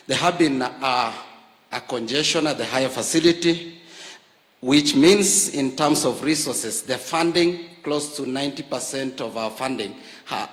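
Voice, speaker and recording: A Kenyan man giving a formal public speech to political leaders, measured, with frequent fillers, rising to stress key points. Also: mid-range voice, a bit bright. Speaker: health worker leader addressing a political forum. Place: large university hall. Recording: reverberant hall.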